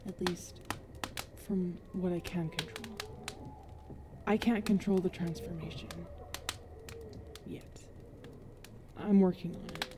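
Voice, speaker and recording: A woman playing a huge, self-loathing young werewolf girlfriend in an ASMR roleplay, halting and emotional, sometimes dropping to a whisper. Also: on the low side, fairly even intonation, background noise. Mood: subdued confiding. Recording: cricket and night ambience behind.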